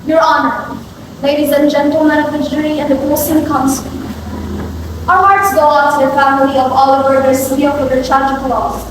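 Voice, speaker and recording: A woman playing a high-voiced defense attorney, very echoey. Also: little pitch movement, brisk, lightly acted. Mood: formal, insistent. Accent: Filipino. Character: measured, formal female defense attorney. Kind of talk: scripted courtroom oratory, student drama. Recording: distant in echoey hall, noisy.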